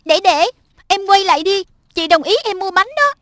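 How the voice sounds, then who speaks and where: A woman voicing a bossy but caring schoolgirl big sister in a cartoon dub, firm and deliberate. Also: very high-pitched, background noise.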